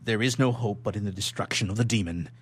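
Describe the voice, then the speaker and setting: A man's voice: fairly low pitch, fast talker, breathy edge, faint music behind. A man playing a haunted, guilt-ridden young scientist in an old melodramatic radio play, with declamatory archaic diction. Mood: haunted dread.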